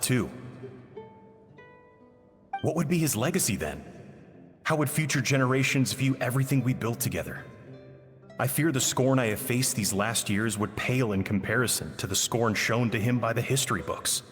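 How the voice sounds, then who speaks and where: An actor voicing a grieving man in a game cutscene, who speaks in a solemn, measured and regretful way. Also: fairly low pitch, lightly acted. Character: grieving man recounting a friend's tragic downfall. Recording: long reverb with music underneath.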